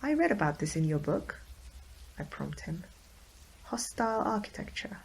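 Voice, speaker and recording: A woman playing a private investigator, narrating a horror audio drama in a restrained, deliberate way. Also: lowish voice, big pitch swings, gravelly, slight background noise, poor audio. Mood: restrained probing.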